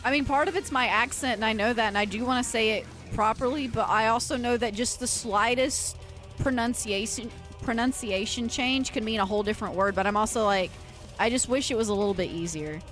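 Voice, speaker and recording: A woman's voice: a little high, brisk, a bit bright, faint music behind. A woman livestreamer chatting casually and earnestly with her viewers, rambling and often restarting her sentences. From United States. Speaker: livestreamer and metal vocalist.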